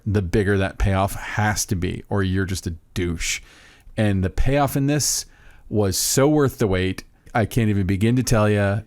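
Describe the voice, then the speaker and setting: A man's voice: lowish voice, a little mellow, clear, resonant tone, dry recording, clean studio sound. A man co-hosting a podcast, talking enthusiastically and rambling about a film he loves. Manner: enthusiastic, rambling, self-interrupting. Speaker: podcast co-host. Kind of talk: casual podcast chat.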